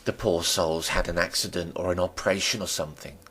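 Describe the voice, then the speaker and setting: A man's voice: low-pitched, steady tone, brisk, fairly bright tone, breathy edge. A man voicing a chatty, nosy, deferential country landlady in a storybook reading, with folksy rustic talk that rambles and fusses. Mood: gossipy fussing.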